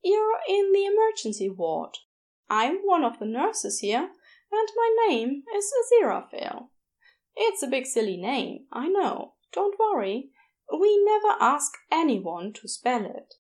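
A woman voicing a kindly, chatty male nurse in an audiobook, with a soothing, fussy and gently joking manner. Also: high voice, very animated, unhurried, pitched above the performer's own voice. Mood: reassuring. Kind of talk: expressive audiobook character voice.

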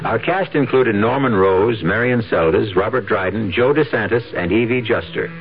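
A man's voice: lowish voice, normal speed, breathy edge, dull audio. A man hosting a radio drama, narrating from a script in a theatrical, wry manner. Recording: thin band-limited radio, music behind. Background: music.

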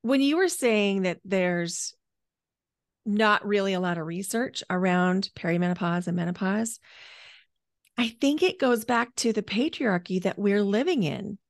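A woman's voice: medium pitch, animated, unhurried, dry recording, studio quality. A 49-year-old woman speaking as a podcast guest, telling her own story conversationally and stretching key words for emphasis. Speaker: podcast guest, energy healer and coach.

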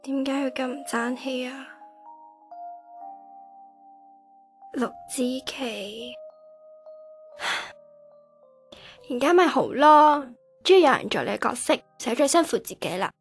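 A self-deprecating nineteen-year-old daughter with a high voice and a Hong Kong Cantonese accent. She is dramatic and sensitive, in a radio drama with music behind her. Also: steady tone, a little slow, few pauses. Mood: bitter, hurt.

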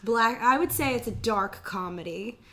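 Young female co-host, high pitch, congested cold voice, playful jokey chat. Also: animated, moderate pace, bright, forceful tone, faint music behind. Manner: playful, laughs often, jokey. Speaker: podcast co-host. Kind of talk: casual banter.

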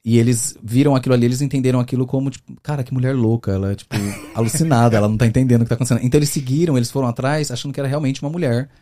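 A man from the interior of São Paulo state, with that region's accent, chatting as a guest on a podcast. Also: mid-range voice. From Brazil, interior of São Paulo state. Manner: fast, animated storytelling with many fillers. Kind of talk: casual interview chat.

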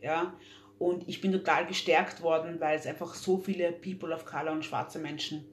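A woman's voice: deep voice, steady tone, normal speed. Woman, young adult, interview guest, earnest, echoey room, faint hum. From Austria. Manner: earnest, frequent fillers and restarts. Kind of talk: casual interview talk.

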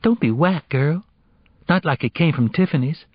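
A man voicing a sharp high-pitched teenage girl. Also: lively intonation, breathy edge, no echo, highs cut off. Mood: sharp, incredulous. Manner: slangy, challenging, quick to question. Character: sharp, street-smart teenage girl, protective and blunt.

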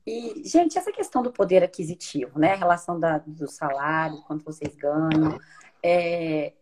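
A woman with a very low voice hosts a casual panel chat. She prompts the guests with questions and sums up what they have said. Faint noise runs under her voice. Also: expressive, moderate pace, darkish tone. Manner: prompting, sums up others' points.

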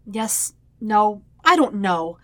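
A woman's voice: big pitch swings, takes it slowly, dry recording, studio quality, full character voice. A woman voicing a blunt, defensive, indignant teenage boy in an audiobook story, snapping in a harsh, volatile way. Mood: flustered. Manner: snapping, loud, harsh, high-strung.

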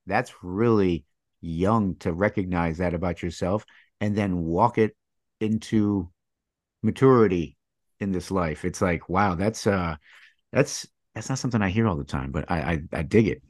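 A man hosting a podcast interview, talking casually in halting, restarting phrases and admiring his guest. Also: deep voice, darkish tone, no room sound, studio quality. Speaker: podcast host.

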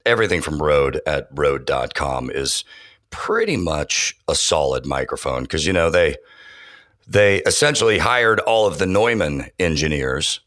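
An enthusiastic man talking shop in a podcast interview, his voice sitting extremely low. He stumbles through fillers and restarts as he goes. The sound is dry and close, with no room around it. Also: fairly bright tone, no room sound. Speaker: voiceover artist and audio engineer. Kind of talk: casual interview talk.

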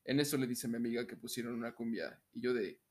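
A man's voice: mid-range voice, quick pace. A young Mexican man with a mid-range voice co-hosts a podcast in a casual, comedic way. He is jokey, and laughter breaks into his talk easily. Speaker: podcast co-host. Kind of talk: casual comedic chat and story reading.

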